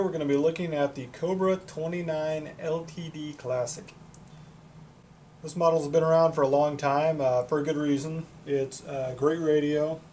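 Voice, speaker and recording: A man's voice: on the high side, mellow, a little hiss or hum. A man giving a casual product demonstration as a reviewer, plain-spoken and matter-of-fact. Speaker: product reviewer for a CB radio shop.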